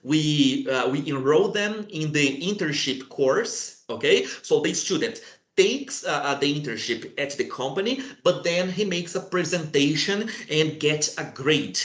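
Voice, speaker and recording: Brief: Brazilian man, Portuguese native, university presenter, slightly high voice, hesitant filler-heavy delivery, echoey room. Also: lively intonation, unhurried, fairly bright tone. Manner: frequent hesitations, friendly explanatory tone. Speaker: university international affairs representative. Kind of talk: informational presentation.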